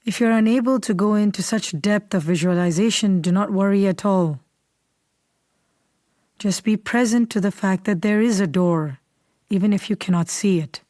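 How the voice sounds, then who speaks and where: A woman leading a guided meditation in a calm, unhurried, soothing way, softly and at times almost whispering. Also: mid-range voice, no echo, clean studio sound. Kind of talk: guided meditation, slow scripted instruction.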